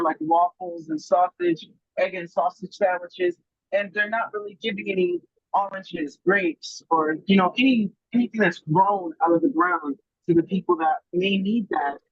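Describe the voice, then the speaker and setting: High-voiced husky man on a muffled line. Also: no echo. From Germany. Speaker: guest food grower. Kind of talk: casual podcast conversation.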